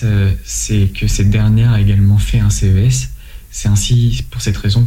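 A young man from France with a low, very dark and mellow voice. He answers questions in a measured way, hesitating and restarting now and then. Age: 20s. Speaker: interview guest, volunteer.

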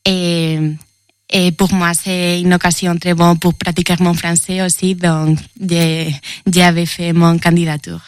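A woman with a Spanish accent answers interview questions in French, her second language, pausing and repeating words as she reaches for the right phrase. Her voice sits fairly low and flat. The sound is close and dry. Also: clear, resonant tone, dry recording. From Spain. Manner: halting, repeats words while searching for phrasing. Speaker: intern at an EU agency.

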